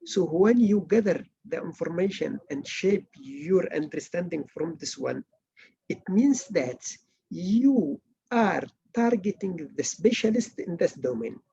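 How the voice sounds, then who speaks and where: A middle-aged man from Malaysia, giving an academic writing workshop lecture in earnest, halting English with many restarts. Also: sits high, lively intonation, creaky, warm tone, slightly rough, muffled recording. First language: not English.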